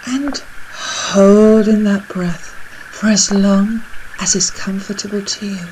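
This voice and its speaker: A woman leading a guided breathing meditation in a soothing, measured, soft and breathy voice that nears a whisper at times. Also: medium pitch, fairly even intonation, slight background noise, low-quality recording. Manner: soothing, measured, unhurried instruction.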